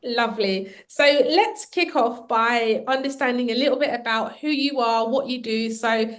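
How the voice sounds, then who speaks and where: A woman's voice: on the high side, moderate pace. A woman hosting a podcast interview, asking questions in a conversational style. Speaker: guest host interviewer.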